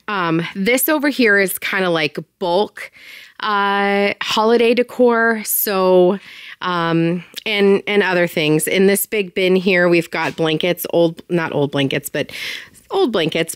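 A woman's voice: on the low side, smooth, no room sound, studio quality. A woman in her thirties chatting casually through a tour of her basement storage, with lots of ums and likes and bursts of enthusiasm. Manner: chatty, filler-heavy, enthusiastic. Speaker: home organizing vlogger. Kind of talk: casual walkthrough chat. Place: home basement storage room.

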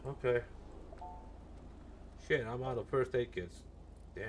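A man's voice: mid-range voice, slow pace, a little hiss or hum. A man in his thirties chatting casually in character while streaming a roleplay game from a room at home.

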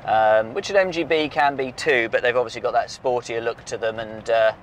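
A man's voice: medium pitch, fairly quick, slight background noise. A man, talking casually through a car's engine work as a workshop mechanic, with lots of "um"s and restarts. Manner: relaxed, many "um"s and restarts, friendly banter. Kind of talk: casual technical walkthrough. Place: outdoor workshop yard, then inside a moving car. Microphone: lapel mic.

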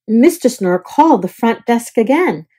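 A woman's voice: fairly high pitch, very animated, normal speed, warm tone, husky. A woman storyteller narrating a children's picture book aloud.

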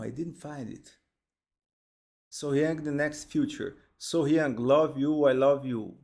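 Brazilian guy w/ accent reacting to a music video, slow and gravelly, keeps repeating himself, headset mic at home. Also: mid-range voice, darkish tone. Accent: Brazilian Portuguese. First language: Portuguese. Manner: halting, repeats words, exclaims in delight. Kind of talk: casual live reaction commentary. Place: home studio, indoors, gaming chair.

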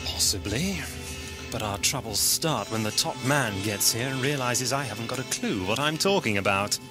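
Guy playing a quick-witted eccentric space traveller, swoopy delivery, radio play, music behind. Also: mid-range voice, lively intonation, natural voice. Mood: indignant, firm. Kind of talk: audio drama acting.

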